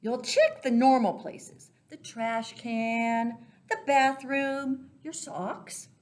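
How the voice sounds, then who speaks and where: A woman reading a picture book aloud to young children as a storyteller, expressive and deliberate, stressing key words and speaking directly to her listeners. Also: on the high side. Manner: talks directly to young listeners, asking simple questions. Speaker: children's storyteller for a church Sunday school.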